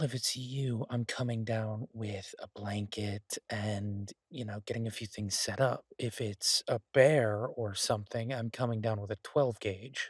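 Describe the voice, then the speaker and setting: Husky, low-voiced easygoing boyfriend chef, acted by a man. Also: dry recording, clean studio sound. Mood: tender, teasing. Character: easygoing, affectionate male chef, devoted partner of a werewolf. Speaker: audio roleplay performer. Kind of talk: intimate boyfriend roleplay audio, casual one-sided chat.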